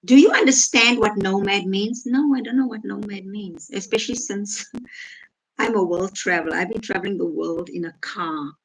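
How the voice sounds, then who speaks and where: A woman talks casually to her viewers. Her voice sits at an ordinary middle pitch. She reads out comments and answers them point by point with a sarcastic edge. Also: moderate pace, rough recording. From South Africa. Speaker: vlogger reacting to comments.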